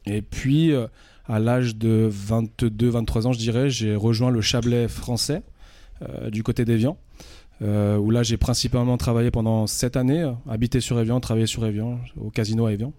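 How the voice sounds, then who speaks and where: A man's voice: mid-range voice, steady tone, moderate pace, warm tone, no echo. A man in his thirties from France, a radio guest chatting casually, hesitant with many fillers and restarts. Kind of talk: casual interview talk.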